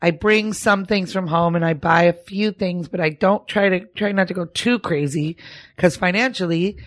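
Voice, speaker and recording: A woman's voice: fairly low pitch, normal speed, dry recording. A woman guest on a podcast, chatting in her apartment, upbeat and giggly. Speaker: podcast guest, stage performer. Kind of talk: casual podcast chat.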